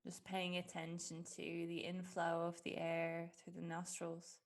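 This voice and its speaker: A woman leading a guided meditation in a gentle, unhurried way with long pauses. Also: lowish voice, monotone. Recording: music behind the voice. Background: background music.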